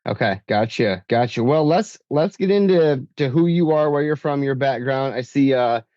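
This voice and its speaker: A man's voice: mid-range voice, moderate pace, dry recording, highs cut off. A man from West Michigan hosting a podcast in a studio, chatting casually and leaning on fillers. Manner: relaxed, friendly, many fillers. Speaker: podcast host. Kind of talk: casual podcast chat and ad read. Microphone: studio mic.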